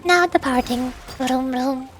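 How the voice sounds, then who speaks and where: Playful high-voiced young woman streamer. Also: unhurried, noisy background. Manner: playful, makes silly sound effects. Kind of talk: playful casual commentary.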